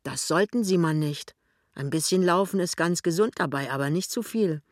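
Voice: mid-range voice, normal speed, dry recording, clean studio sound, natural voice. A narrator voicing a stern, brusque shopkeeper's wife in an audiobook, bossy and emphatic but helpful. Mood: concerned, brisk. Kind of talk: audiobook character dialogue.

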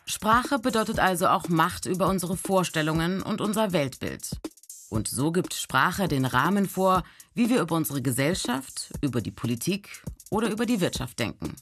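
A narrator reads a scripted explainer with a mid-pitched, lively voice. Soft music plays faintly underneath. The delivery is steady and explanatory. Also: moderate pace, husky.

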